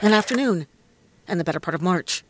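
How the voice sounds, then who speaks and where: A woman's voice: fairly low pitch, animated, natural voice. A woman playing a wisecracking, cocky hardboiled detective in a comedic period noir audio play, slangy and sardonic. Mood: wry, casual. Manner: slangy, sardonic, quick with quips.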